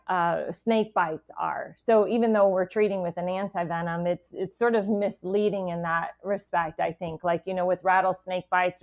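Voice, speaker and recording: An American woman explaining things casually and plainly as a medical expert guest on a podcast. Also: fairly low pitch. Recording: thin phone-like line.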